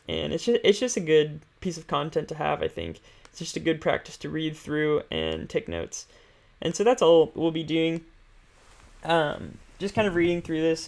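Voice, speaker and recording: A man's voice: a little high, creaky. A man hosting an investing stream, explaining finances casually and without a script, in an informal, hesitant, think-aloud way.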